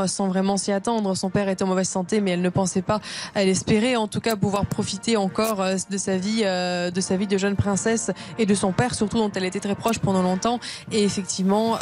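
A woman's voice: mid-range voice, a little mellow. A woman reporting live on a ceremony, speaking steadily with frequent hesitant fillers. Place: outdoors at a procession. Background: brass band music.

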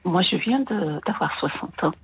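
A woman's voice: on the low side, expressive, normal speed, slightly rough, no echo. A woman in her sixties with a slight American accent in her French, calling in to a radio show and talking hesitantly with many fillers. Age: 60s. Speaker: caller. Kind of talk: phone-in confession. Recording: dull long-distance phone line.

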